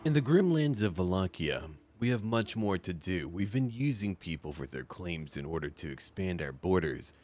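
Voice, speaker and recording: Deep-voiced man doing gameplay commentary over music. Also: sing-song, normal speed, few pauses, a little mellow. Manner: musing aloud in short clipped remarks. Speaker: host of a gameplay series. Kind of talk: casual gameplay commentary with light roleplay. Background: background music.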